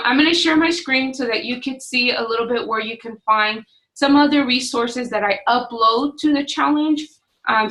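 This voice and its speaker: A woman in her forties, a fitness coach talking straight to her webcam from a small home office, firm and no-nonsense, her voice slightly hoarse. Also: a little high, a bit bright, rough recording. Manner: firm, no-excuses, pep-talk delivery. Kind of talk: direct-to-camera coaching talk. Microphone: webcam at desk distance.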